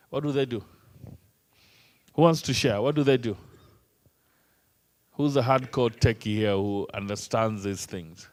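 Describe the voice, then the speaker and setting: A Kenyan trainer whose first language is Swahili, speaking English at a mid-pitched level for a man. He runs the session by throwing questions at the room and teasing the participants. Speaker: workshop trainer.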